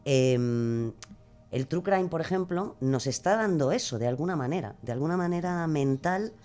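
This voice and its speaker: A woman's voice: deep voice, lively intonation, normal speed, warm tone. Female podcast guest, hesitant, musing, conversational interview.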